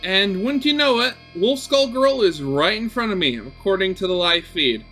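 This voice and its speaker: Male game narrator, bright forceful tone, expressive storytelling with informal asides, faint music bed. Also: high voice, normal speed, slightly rough, rough recording. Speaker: gaming video host reading a visual novel aloud. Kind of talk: dramatic story narration with casual commentary.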